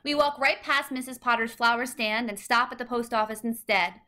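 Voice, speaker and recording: Bright-voiced woman reading a storybook. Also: on the high side, fairly quick. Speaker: storybook reader. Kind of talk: scripted children's story narration, read aloud.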